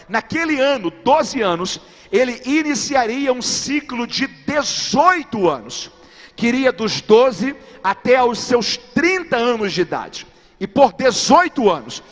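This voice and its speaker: A man's voice: very high-pitched, a bit bright. A man preaching a sermon as a pastor, building his points in numbered steps and asking the congregation questions. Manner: preaching emphatically with repeated rhetorical questions to the congregation. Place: large church sanctuary. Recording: long hall reverb from a live church stream.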